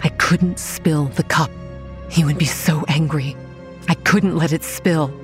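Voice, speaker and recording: A young woman playing a dazed, haunted campground manager, narrating in the first person in a horror audio drama in a measured, introspective way. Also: low-pitched, fairly even intonation, soft background music. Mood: tense, fearful. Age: young adult.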